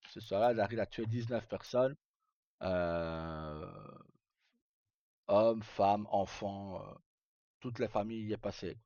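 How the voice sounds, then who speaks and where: A man chatting casually as a livestream host, telling roleplay stories in a halting, rambling way and often repeating words as he goes. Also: on the low side, mellow, no echo. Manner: halting, rambling, frequent self-repetition. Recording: dull lo-fi mic, dry.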